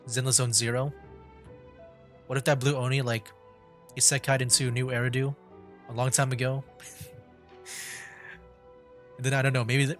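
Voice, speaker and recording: A man giving casual gaming commentary as a streamer, rambling and hesitant, close to the microphone. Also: medium pitch. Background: faint game music.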